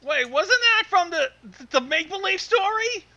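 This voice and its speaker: Female commentator, casual and sweary. Very high, lively pitch. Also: normal speed. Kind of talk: casual reactions during a story reading.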